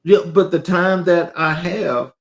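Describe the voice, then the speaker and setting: A man's voice: sits high, slow pace. Hesitant male interviewer. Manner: brief backchannels, hesitant fillers. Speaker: interviewer, host. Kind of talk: conversational interview.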